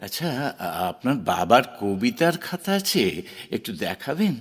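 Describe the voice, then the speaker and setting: A man's voice: medium pitch, big pitch swings, moderate pace. A man acting a modest, courteous poet in a Bengali audio drama, speaking politely with a slightly hesitant, reflective manner. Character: modest, courteous established male poet, somewhat awkward. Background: clear background music.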